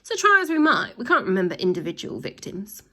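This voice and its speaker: A woman voicing a greedy, gloating ice cream van man who blusters and shouts. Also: medium pitch, wildly swooping pitch, fairly quick.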